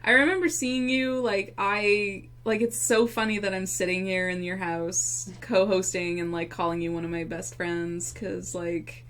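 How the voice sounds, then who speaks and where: Young woman, mid-pitched voice, casual co-host, relaxed chatter. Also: unhurried, few pauses. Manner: easygoing, short agreeing replies. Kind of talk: casual chat.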